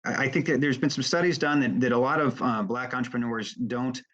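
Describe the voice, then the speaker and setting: A man giving halting, measured, explanatory testimony off the cuff as an expert witness at a formal remote hearing. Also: medium pitch, fairly even intonation, a bit bright. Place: remote hearing over video call. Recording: dull, lo-fi video call audio.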